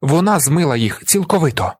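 Young male veteran, acted by a man reader: earnest, declamatory, impassioned plea, animated and husky. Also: a little high, brisk, no echo, clean studio sound, voice pushed up. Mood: impassioned, bitter. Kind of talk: dramatized audiobook character.

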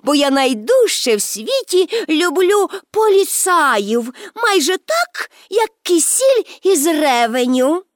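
A woman's voice: extremely high pitch, very animated, normal speed, dry recording, studio quality, voice pushed up. A woman voicing a carefree, cheeky nine-year-old girl in a storybook, proud and playful in manner. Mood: delighted. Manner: playful, breezy, emphatic.

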